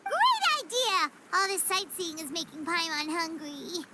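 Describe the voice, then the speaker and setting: A woman voicing a tiny, childlike, excitable fairy companion in a video game, with a squeaky voice and exclaiming delivery. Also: unhurried, heavy background noise. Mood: excited, then cheerful. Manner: exclaiming, speaks of herself in third person. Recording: music behind the voice. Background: game music.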